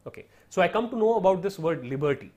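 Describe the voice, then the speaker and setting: A man with an Indian English accent, a native Hindi speaker, teaching in an explanatory, step-by-step way. His voice sits a little higher than most men's, and he keeps checking in with "right?" as he goes. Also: animated, fast, a little mellow. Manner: explanatory, repeats "right?" and "so therefore", mixes in Hindi. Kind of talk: online lesson on study strategy.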